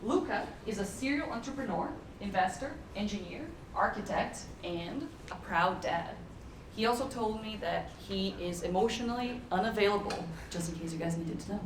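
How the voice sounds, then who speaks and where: A woman introduces the next speaker with light teasing. She sounds distant from the mic in an echoey hall, with a clear rumbling noise underneath. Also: normal speed. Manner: teasing, playful. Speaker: event host introducing the speaker.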